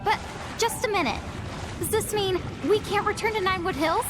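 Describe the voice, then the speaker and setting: A sensible teenage girl in a fantasy game. Her voice is high and youthful, her manner wary and easily exasperated. Loud music runs behind her. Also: normal speed. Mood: questioning. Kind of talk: video game cutscene acting.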